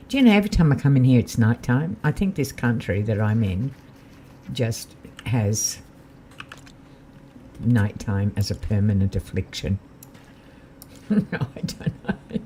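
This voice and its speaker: A man with a slightly croaky voice, chatting casually and thinking aloud while he live-streams a game. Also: mid-range voice, dark tone, slight background noise.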